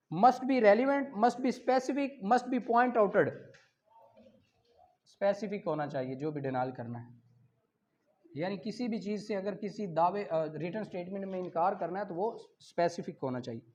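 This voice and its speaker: A man with a Pakistani accent teaching an online law class, reading English questions slowly, explaining them in Urdu and calling on students by name. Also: sits high, muffled recording. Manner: calls on students by name, brisk and encouraging.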